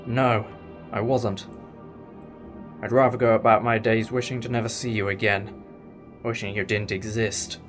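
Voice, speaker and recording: A man's voice: on the low side, little pitch movement, a little slow, long pauses, fairly bright tone, faint music behind. A man playing a wry, inquisitive time-traveller in a sci-fi audio drama, with a sardonic, explanatory manner. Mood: defiant, grave. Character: wry, inquisitive, defiant male time-travelling alien adventurer.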